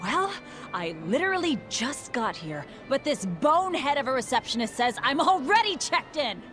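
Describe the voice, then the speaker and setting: An exasperated young woman in a video game, complaining loudly that she is being shut out. Her voice is very high and bright, bouncing sharply in pitch. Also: very animated, moderate pace, faint music behind. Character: indignant young woman denied entry to an event.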